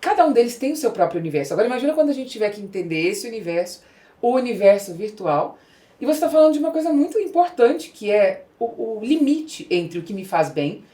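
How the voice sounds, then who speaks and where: A woman's voice: a little high, sing-song, quick pace, warm tone. A woman with a Brazilian Portuguese accent, hosting a conversational interview and explaining her points through repetition and lists. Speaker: podcast host, interviewer. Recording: roomy echo.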